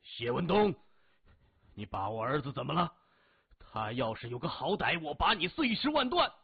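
A man voicing a frantic, furious middle-aged gangster father in an audiobook, loud, urgent and blustering. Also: on the high side, big pitch swings, normal speed, creaky, slightly rough, heavily acted. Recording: thin, narrow phone-like audio.

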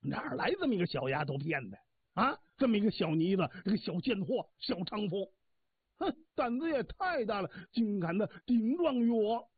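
A man voicing a boastful, quick-tempered old orchard god who barks orders. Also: sits high, sing-song, breathy edge, no echo, full character voice. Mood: cursing. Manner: swaggering, barking orders. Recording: tinny phone-like dry sound.

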